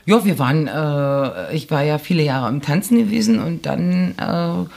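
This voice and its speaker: A middle-aged woman from East Germany talks as a podcast guest, her voice gravelly with a husky edge. She tells her anecdotes in a chatty, easy way and laughs often. She is recorded on a studio microphone. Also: low voice, lively intonation, moderate pace, darkish tone. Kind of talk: casual chat. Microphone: studio microphone, audio-only podcast.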